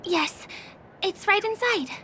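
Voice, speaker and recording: A woman's voice: very high-pitched, lively intonation, slow, bright, forceful tone, slight background noise, full character voice. A woman voicing a small, eager, childlike guide character in an English-dubbed video game. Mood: eager, guiding.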